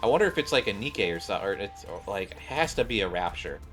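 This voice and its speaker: Male game streamer, low pitch, slangy, thinking out loud, casual commentary, game music behind. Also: animated, fast. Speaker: gaming streamer. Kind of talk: casual gameplay commentary, reading dialogue aloud.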